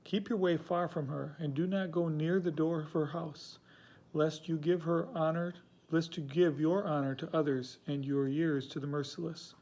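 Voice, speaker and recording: A man reads scripture aloud as a measured recitation. His voice sits a little high for a man and has a dark, mellow tone. The delivery is solemn and deliberate. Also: rough recording. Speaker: scripture reader.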